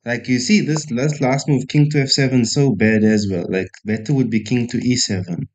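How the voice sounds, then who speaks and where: A man's voice: mid-range voice, fairly even intonation, normal speed, a little mellow. A man from South Africa streaming chess, thinking aloud and chatting casually with viewers in a self-deprecating way. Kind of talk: casual live-stream commentary.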